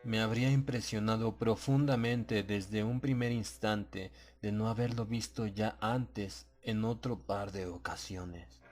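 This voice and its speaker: A man narrating a scripted horror audiobook in a grave, measured, suspenseful way. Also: fairly low pitch, steady tone, a little mellow, soft background music.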